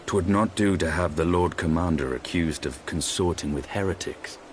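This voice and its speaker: An actor playing a grim, proud dragoon knight in a fantasy game, speaking formal archaic English in a measured, guarded manner. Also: male voice, low-pitched, a little hiss or hum, lightly acted. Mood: guarded, dry.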